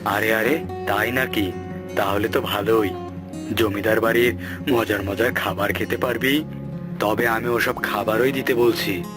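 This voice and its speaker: A man's voice: on the low side, fairly even intonation, unhurried, pauses often, close to an everyday voice. A man voicing a kindly, bemused village landlord in a children's cartoon, musing and indulgent. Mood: kindly, welcoming. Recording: music behind the voice.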